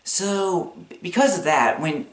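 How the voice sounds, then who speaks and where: A man giving casual, unscripted commentary in a rambling, halting way, as the host of an opinion video. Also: high-pitched, lively intonation. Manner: rambling, halting, chuckles as he talks. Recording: echoey, roomy sound.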